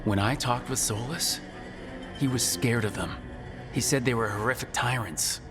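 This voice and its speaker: A man voicing a composed, resolute mage leader in a video game, speaking in a measured, serious way. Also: medium pitch, lightly acted. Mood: grave, insistent. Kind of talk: video game dialogue acting. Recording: long reverb, game music behind.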